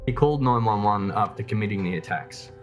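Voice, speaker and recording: A man's voice: lowish voice, brisk. A man reading scripted true crime documentary narration. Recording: music bed under the voice. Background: background music.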